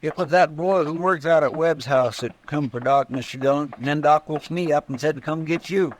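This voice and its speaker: An actor playing stubborn, folksy frontier men in a 1950s radio western, with an American rustic drawl and plain country talk. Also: male voice, on the high side, a little fast, a little hiss or hum, natural voice. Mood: earnest.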